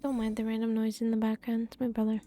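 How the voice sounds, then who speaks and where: A woman's voice: fairly high pitch, completely flat delivery, fast talker, dark tone, soft background music. A woman giving casual live game commentary, playfully muttering to herself with little sound-word fillers. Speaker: streamer.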